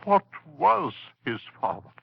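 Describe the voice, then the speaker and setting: An actor playing an anxious, questioning colleague with a nervous delivery in 1940s radio horror style. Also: male voice, a little high, big pitch swings, unhurried, gravelly, breathy edge, exaggerated voice. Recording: dull old radio recording.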